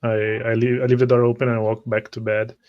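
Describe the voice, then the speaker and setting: A man narrating his character's actions haltingly as a tabletop game player. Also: mid-range voice, level tone, dull audio. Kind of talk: first-person roleplay narration.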